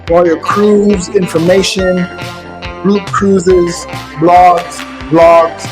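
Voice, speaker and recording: A man's voice: high-pitched, flat delivery, extremely slow, music in the background. A man hosting a travel podcast, talking in a hyped, playful way, sitting down with a guest. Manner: hyped, playful, laughs easily. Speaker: podcast host. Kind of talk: casual podcast chat.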